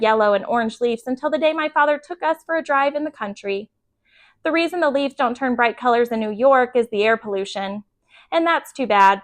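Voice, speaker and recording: A woman's voice: a little high, a bit bright. A woman reading a children's book aloud as a bedtime storyteller, expressively acting out the dialogue.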